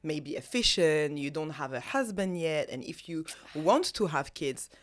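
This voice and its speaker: A woman in her thirties co-hosting a casual podcast chat, talkative and animated, laughing often. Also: sits low, a little slow. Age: 30s. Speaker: podcast co-host.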